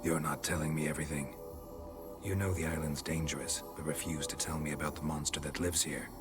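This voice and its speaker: An actor playing a stoic, suspicious, world-weary monster hunter in a video game, gravelly and terse, with deadpan delivery. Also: male voice, a little fast, loud music behind the voice. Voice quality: gravelly, low. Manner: terse, deadpan, clipped sentences. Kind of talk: dramatic video game acting.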